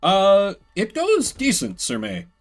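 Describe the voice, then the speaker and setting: A man's voice: high-pitched, wildly swooping pitch, slow pace, faint music behind. A man giving casual live game commentary as a streamer, rambling with playful, self-deprecating asides.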